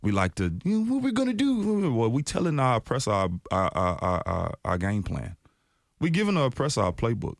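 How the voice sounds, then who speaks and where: A man's voice: medium pitch, brisk, vocal fry, no room sound. A man from Oak Cliff, Dallas, a rapper talking with passion as a guest in a radio studio. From Oak Cliff, Dallas, Texas. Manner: impassioned, animated, mimics others in raised voice. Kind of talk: freestyle and radio interview talk.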